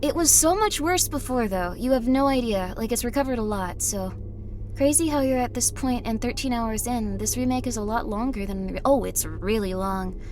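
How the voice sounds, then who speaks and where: A tired woman streaming a game, chatting casually in a slightly raspy voice, rambling with many fillers. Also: fairly high pitch, expressive, moderate pace, a little mellow, a little hiss or hum. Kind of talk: casual chat while playing a game.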